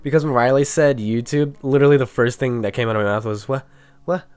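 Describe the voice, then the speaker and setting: A man's voice: mid-range voice, steady tone, quick pace, darkish tone, smooth. A young man with a nasal, stuffy voice from being sick, telling a joking, chatty story about his school days with small stumbles. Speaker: YouTuber. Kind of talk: casual storytime narration.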